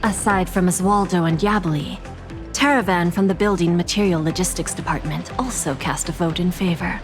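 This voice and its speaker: A woman playing a poised, authoritative corporate insider, giving articulate, measured exposition in a video game. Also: fairly low pitch, close to an everyday voice. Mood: serious. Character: composed, authoritative female corporate insider briefing allies. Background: game music.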